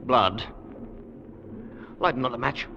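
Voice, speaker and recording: Decisive expedition partner: medium pitch, analytical and firm, vintage radio drama with noisy, dull sound. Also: male voice, slow, vocal fry. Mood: grim. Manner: decisive, reasoning aloud. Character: resolute, analytical male explorer companion. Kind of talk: 1950s radio drama acting. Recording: dull old radio recording, noisy background.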